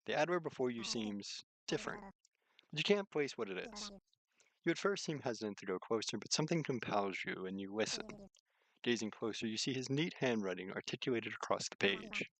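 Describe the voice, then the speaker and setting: A man's voice: medium pitch, animated, fairly quick, fairly bright tone, dry recording, low-quality recording. A man reading a visual novel aloud as a streamer, mixing narration with casual commentary.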